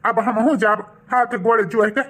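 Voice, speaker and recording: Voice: medium pitch, steady tone, moderate pace, a bit bright, lightly acted. A voice actor playing a remorseful village husband and son in a cartoon, earnest and humbly pleading, with an Awadhi accent. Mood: exasperated, contrite.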